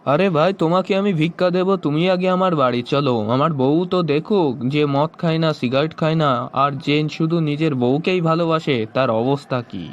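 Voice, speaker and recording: A man's voice: fairly high pitch, fairly quick, few pauses, lo-fi sound, natural voice. A man playing a pushy, chummy, coaxing fellow in a cartoon joke skit.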